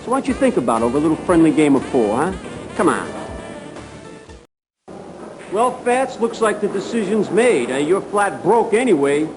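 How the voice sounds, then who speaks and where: Oily pool-hustler boss, high male voice, falsely genial, wheedling, game cutscene, music playing behind. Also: very animated, normal speed, long pauses, rough recording. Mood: oily, welcoming. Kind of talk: video game cutscene acting.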